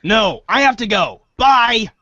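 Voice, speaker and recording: A woman's voice: on the high side, animated, takes it slowly, fairly bright tone, no echo, dull audio. A woman acting in a casual comic table read, hesitant and a bit exasperated, breaking off for asides. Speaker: actor in a reader's theater show.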